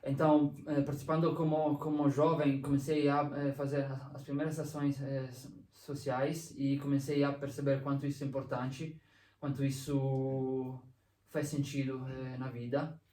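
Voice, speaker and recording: A young adult man from Italy speaks Portuguese with a strong Italian accent. He pauses, fills gaps and restarts as he hunts for words. He sounds distant from the mic in an echoey room. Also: medium pitch, level tone, warm tone. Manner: hesitant, many fillers and restarts. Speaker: guest. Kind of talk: casual interview conversation.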